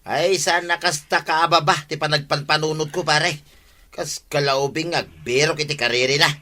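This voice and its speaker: A nagging Ilocano villager in a radio comedy. The voice is sharp and forceful, sitting slightly high, and argues in an indignant, scolding way. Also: male voice, steady tone, normal speed.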